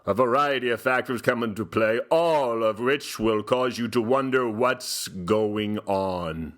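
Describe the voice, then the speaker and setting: Man acting as a pompous gentleman host, very slow delivery, mid-pitched, dramatic pauses, mock-solemn literary reading, comedy theatre style. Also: close to an everyday voice.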